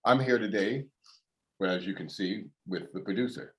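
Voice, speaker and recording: A man's voice: on the low side, normal speed, a bit bright. A man hosting an interview show, chatty and friendly, laughing often. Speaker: host.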